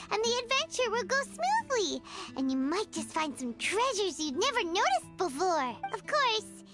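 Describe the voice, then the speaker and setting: A woman voicing a tiny, cheeky, chatty fairy-like girl companion in a video game, squeaky and childlike. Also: heavily acted. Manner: chatty, refers to herself by name.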